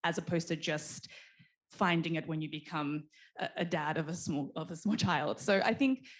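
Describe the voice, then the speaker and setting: A woman's voice: deep voice, normal speed. A woman speaking as a panelist, thoughtful and full of restarts and fillers. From Australia. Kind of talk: panel discussion.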